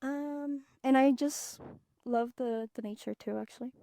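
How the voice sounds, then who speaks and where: Chatty young woman, high voice. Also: steady tone, a little slow, warm tone. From Peru. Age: young adult. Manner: chatty, many fillers like "um" and "like". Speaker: podcast co-host, student ambassador. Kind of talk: casual chat.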